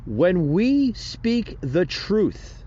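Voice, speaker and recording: A man's voice: sits high, big pitch swings, extremely slow, warm tone, muffled recording. A man hosting a solo political commentary podcast, rambling and indignant, with many fillers and restarts. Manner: indignant and preachy. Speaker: podcast host.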